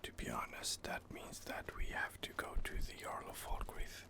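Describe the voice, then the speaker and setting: A man softly whispering relaxed gameplay commentary, hesitant and full of fillers. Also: moderate pace. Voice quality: soft whisper. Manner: hesitant, many fillers and restarts. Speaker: gameplay host.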